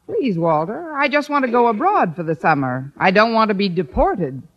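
An actress plays a wisecracking woman schoolteacher in a vintage radio sitcom. Her delivery is dry and arch, with very animated rises and drops in pitch. She is quick with a comeback. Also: lo-fi sound, heavy background noise, lightly acted. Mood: dry, amused. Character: wry, wisecracking woman schoolteacher with romantic hopes. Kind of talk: 1950s radio sitcom acting.

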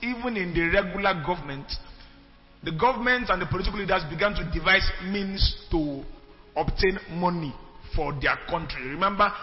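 A high-voiced man gives an animated church history lecture and keeps checking in with his listeners. The recording sounds dull and echoes through a large hall, with faint music underneath. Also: normal speed. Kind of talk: animated classroom lecture with call-and-response.

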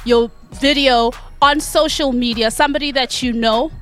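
This is a high-pitched woman hosting a countdown show on the radio, her talk excitable and full of slang. She keeps cutting in on her co-host, and faint music plays behind her. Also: normal speed. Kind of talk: hyped radio chat.

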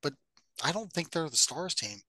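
A man with a slightly high voice talks as a podcast co-host, recorded close and dry. His delivery is casual and opinionated, with blunt phrasing and easy swearing. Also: sing-song, normal speed, pushed and bright, no room sound. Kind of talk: casual opinionated film and game chat.

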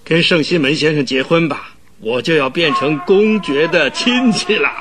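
A man's voice: fairly high pitch, normal speed, noisy background, close to an everyday voice. An actor playing a self-important rich father in a radio drama, exclaiming with gloating delight at social advancement. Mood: gleeful, gloating.

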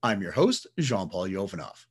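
A man's voice: deep voice, big pitch swings, moderate pace, slightly rough. A man hosting an interview podcast, reading a formal scripted welcome and asking guests questions. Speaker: podcast host.

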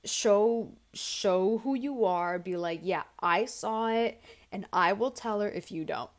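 A young woman hosting a casual advice podcast, blunt and chatty, with a tired, raspy voice as she reads listener stories aloud. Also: mid-range voice, slow pace. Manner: blunt, chatty, swears freely. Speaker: podcast host.

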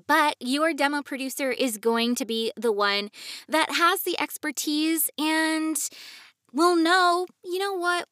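A woman's voice: fairly high pitch, a bit bright, dry recording, studio quality. A woman hosting an advice podcast in a conversational, reassuring way. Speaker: podcast host.

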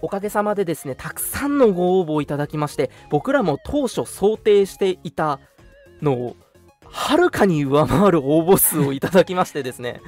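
A man's voice: on the high side, expressive, moderate pace, darkish tone, faint music behind. A young man hosting an online radio show, making announcements in a polite, earnest, conversational way. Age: young adult.

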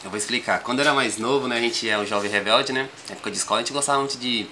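Calm young man, bright voice, São Paulo accent. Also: medium pitch, racing, slight background noise. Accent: São Paulo Portuguese. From Brazil. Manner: calm, rambling, frequent tag questions. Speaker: rapper guest. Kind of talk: casual podcast interview.

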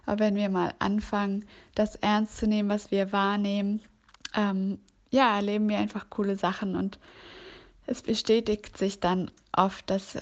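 Woman, faith talk, earnest and halting with fillers, flat pitch, lo-fi voice message. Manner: earnest, explaining scripture with many fillers.

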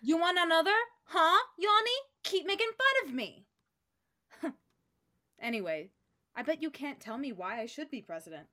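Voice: mid-range voice, very animated, normal speed, long pauses, natural voice. A woman playing a feisty, bossy, defiant schoolgirl in sketch comedy.

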